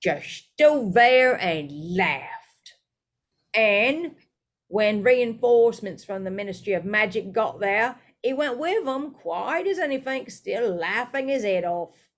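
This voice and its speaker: A woman voicing an excitable, gossipy young male bus conductor who chatters loudly and dramatically at a slow, deliberate pace. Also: medium pitch.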